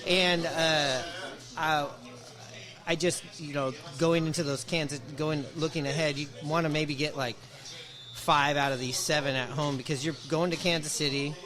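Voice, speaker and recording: Man, fairly high voice, podcast host, casual sports chat. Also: steady tone, moderate pace, a crowd in the background.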